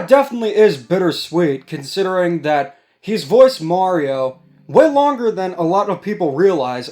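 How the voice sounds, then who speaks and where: A man's voice: high voice, a little mellow. A man hosting a casual discussion, giving commentary over background music. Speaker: host. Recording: music playing behind the voice.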